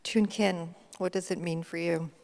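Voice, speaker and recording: A woman moderating a panel in a measured, clear way. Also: fairly low pitch, fairly even intonation, darkish tone. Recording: reverberant hall.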